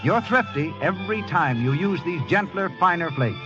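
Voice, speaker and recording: A man's voice: high-pitched, lively intonation, normal speed. An American man working as announcer and narrator on scripted 1940s radio, with polished, declamatory delivery. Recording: lo-fi vintage radio broadcast. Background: music.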